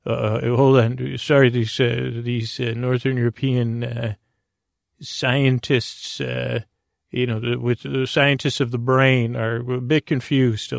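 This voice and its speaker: A man with a creaky voice hosting a bedtime podcast, delivering a halting, meandering, goofy comic monologue. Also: mid-range voice, no room sound, clean studio sound. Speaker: podcast host.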